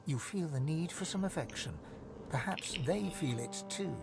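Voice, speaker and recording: A man narrating a fantasy video game in a measured, dramatic storytelling manner. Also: fairly high pitch, expressive, gravelly, music in the background. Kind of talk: scripted narration.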